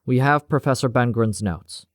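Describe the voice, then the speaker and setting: A man's voice: mid-range voice, dry recording, clean studio sound, voice pushed up, natural voice. A man voicing Cavendish, cold female security officer. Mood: warning. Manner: slow, calm and commanding.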